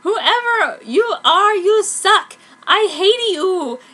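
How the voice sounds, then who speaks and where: A woman's voice: animated, pushed and bright, full character voice. A woman voicing a petulant, gushing teenage girl fanfiction author, overdramatic and drawing out her words. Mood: whiny, furious. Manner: shrill, overdramatic, drawn-out words.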